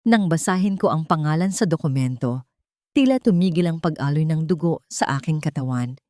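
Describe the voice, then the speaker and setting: Low-pitched woman narrating a dramatic story. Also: animated, a little fast, dark tone, no echo, clean studio sound. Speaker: audiobook narrator. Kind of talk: scripted dramatic first-person story narration.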